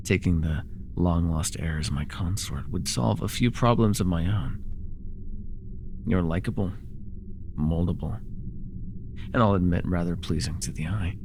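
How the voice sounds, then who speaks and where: A man acting a smug, seductive and menacing sorcerer ruler in a fantasy ASMR roleplay, with a theatrical, teasing, deliberate delivery. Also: sits low, background noise. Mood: seductive, persuasive. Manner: theatrical, teasing, deliberate, with murmured asides and sudden force.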